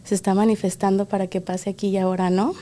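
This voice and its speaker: A woman with a Mexican accent from Guadalajara, co-hosting a podcast in a calm, reflective casual chat. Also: mid-range voice, fast. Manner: calm, reflective, gently teasing. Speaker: podcast co-host. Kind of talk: casual chat and scripted intro.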